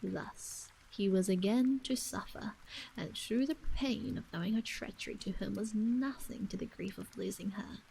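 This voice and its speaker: A woman reads an old novel aloud as a bedtime ASMR storyteller, in a soft, breathy voice that often slips into a whisper, gentle and hushed, with frequent pauses. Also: mid-range voice, a little hiss or hum, low-quality recording. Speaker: storyteller and streamer reading aloud.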